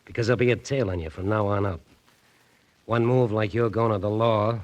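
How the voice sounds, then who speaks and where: An American actor playing a terse, threatening gangster henchman in a 1940s radio crime drama, with a husky voice. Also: on the low side, fairly even intonation, a little fast, lo-fi sound, natural voice. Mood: menacing. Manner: curt, threatening tough talk.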